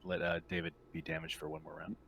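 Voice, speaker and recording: A man speaking as game master, casually narrating a tabletop roleplaying game and pausing to think as he describes things. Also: very deep voice, little pitch movement, vocal fry, slightly rough, highs cut off.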